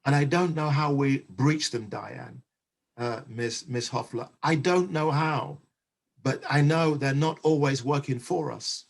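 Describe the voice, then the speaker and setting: A male panelist with a slightly husky, rough-edged voice at an ordinary pitch. He speaks in a measured, oratorical manner, leaning on key phrases with emphasis. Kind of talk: impassioned panel discussion.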